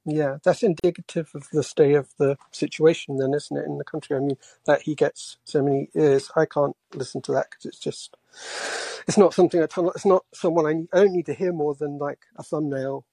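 Casting: male caller, forties, from England, soft-spoken and halting, creaky, very dry close sound. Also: a little high, steady tone, no room sound, poor audio. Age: 40s. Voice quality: quiet voice. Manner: hesitant, halting. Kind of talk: casual political talk.

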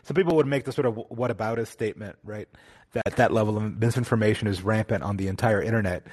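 A man's voice: fairly low pitch, fast, a little mellow, breathy edge. Casual male podcast host asking questions. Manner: casual, loosely phrased with fillers.

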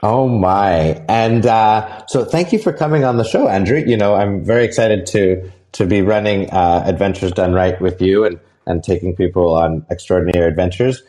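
A young man hosting a podcast, his voice sitting on the low side. He speaks in a casual, halting way, often pausing on fillers and starting his sentences over. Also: warm tone. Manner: halting, heavy with fillers and restarts. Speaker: podcast host. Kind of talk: casual interview chat.

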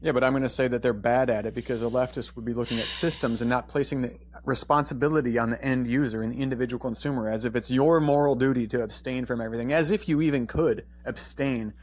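A man of ordinary pitch makes his case in a podcast debate. He is blunt and combative and swears casually. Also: steady tone, quick pace, hardly any pauses.

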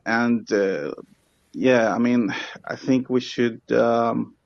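A man's voice: mid-range voice, steady tone, takes it slowly, vocal fry, no echo, highs cut off. A man from Sweden calling in, speaking English with a Swedish accent, hesitant and apologetic, restarting his sentences often. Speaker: caller. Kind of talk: call-in chat.

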